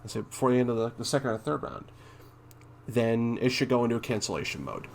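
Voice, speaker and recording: A man with a mid-pitched voice gives casual gaming commentary, reading notes aloud and adding his own take. His delivery is halting and unpolished, blunt in places. There is faint background noise on the recording. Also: big pitch swings, gravelly. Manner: halting, hesitant, thinking aloud. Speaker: gaming content creator and streamer.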